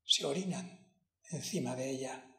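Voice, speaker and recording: A man acting an impassioned defense lawyer in a courtroom monologue, solemn and deliberate, speaking in short emphatic phrases. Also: medium pitch, bright, forceful tone. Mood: grave, anguished. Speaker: acting student. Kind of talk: dramatic courtroom monologue, acted to camera. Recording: echoey room.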